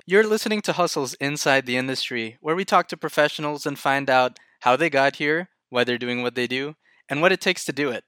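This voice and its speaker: A man hosting a podcast, opening the episode with a smooth, upbeat scripted intro. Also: a little high, sharp tone, dry recording. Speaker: podcast host. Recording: dry close studio sound.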